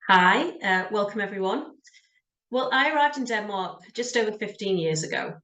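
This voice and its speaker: A woman's voice: mid-range voice, animated, normal speed, fairly bright tone, echoey room. A middle-aged woman from the UK with a British accent, telling her story in a frank, conversational way as an interview guest, with frequent ums. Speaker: guest, job search coach.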